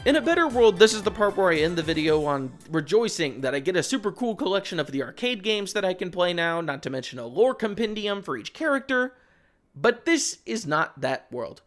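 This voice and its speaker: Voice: mid-range voice, sing-song, brisk, fairly bright tone. A video essayist delivering scripted commentary with informal, wry asides. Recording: music behind the voice. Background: background music.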